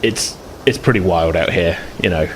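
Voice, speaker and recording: A low-pitched man runs a tabletop role-playing game in a casual way. He feels his way through sentences, hesitating and thinking aloud. There is clear noise and room ambience behind him. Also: normal speed. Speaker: game master.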